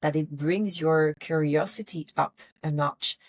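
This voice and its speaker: A woman with a French accent speaks in a low, raspy voice with plenty of fry. She coaches the listener in an intimate solo podcast style, candid and emphatic, encouraging as she goes. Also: measured pace, no room sound. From France. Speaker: wellness podcast host and coach.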